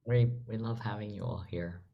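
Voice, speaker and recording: A woman's voice: extremely low pitch, fairly even intonation, moderate pace, gravelly, dull audio, a little hiss or hum. A woman hosting a theatre panel discussion in a conversational way. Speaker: host, theatre leader.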